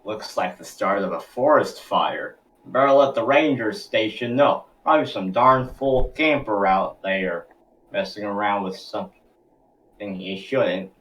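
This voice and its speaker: A man voicing a caring old grandfather, firm and folksy, in an animated series table read. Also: lowish voice, measured pace, far from the mic, poor audio. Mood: grumbling. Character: gruff, caring old grandfather, steady and decisive.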